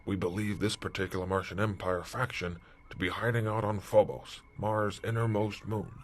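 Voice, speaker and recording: A man playing a blunt, callous military commander with a husky voice and clipped manner in a retro sci-fi radio drama. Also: on the low side, flat delivery, normal speed, natural voice. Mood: grave. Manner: clipped, military, matter-of-fact. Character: blunt, callous male space-force commander.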